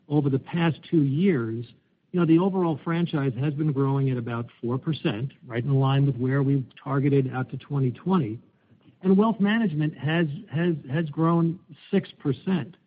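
A man's voice: mid-range voice, husky. A man, a finance executive, explaining business results on an earnings call. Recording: thin, tinny phone line.

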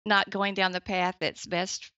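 Older woman with a girlish, gravelly voice. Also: medium pitch, flat delivery, unhurried, no room sound. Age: 70s. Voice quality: youthful, girlish-sounding voice. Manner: soft-spoken, laughs often, gentle. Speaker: podcast guest, writer and editor. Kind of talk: casual interview chat. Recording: dry, slightly dull lo-fi sound.

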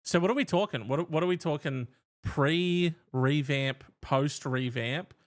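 A man's voice: on the high side, a little slow. A man co-hosting a comedy podcast, teasing his co-host in a sardonic way and swearing freely. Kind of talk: casual comedic chat.

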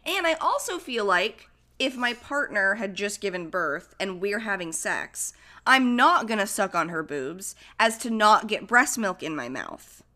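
Young woman with a stuffed-up cold, chatty and giggly, bright clear voice. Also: mid-range voice, expressive, unhurried. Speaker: podcast co-host. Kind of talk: casual chat.

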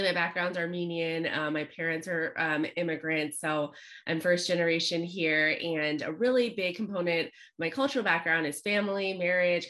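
A woman's voice: on the low side, fairly bright tone. A woman from Los Angeles, a relationship coach, telling her story in a chatty, upbeat podcast interview. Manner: chatty, upbeat, frequent fillers.

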